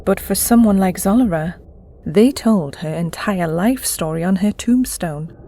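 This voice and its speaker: A woman playing a kindly, learned explorer in an audio drama, speaking in a steady, gentle manner. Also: lowish voice, measured pace, gravelly, slight background noise. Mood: reverent.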